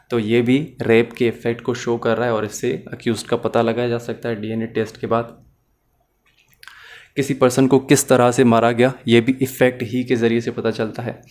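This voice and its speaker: Male law tutor, level monotone delivery, methodical explainer who fills pauses with short "uh"s. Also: medium pitch. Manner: explains step by step, mixes English terms into Hindi. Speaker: law teacher. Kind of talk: recorded law lecture.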